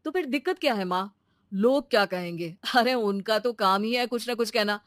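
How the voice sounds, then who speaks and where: Woman voicing a calm, supportive young husband. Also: a little high, moderate pace, dry recording, studio quality, close to an everyday voice. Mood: concerned, reassuring. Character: supportive, reasonable adult son and young husband. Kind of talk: animated moral-story cartoon voice acting.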